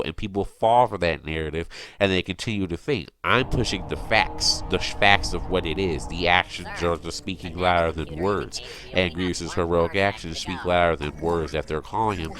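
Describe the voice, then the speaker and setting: A man delivering an opinionated fan rant as an online commentator, insistent, repetitive and exasperated in manner. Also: sits low.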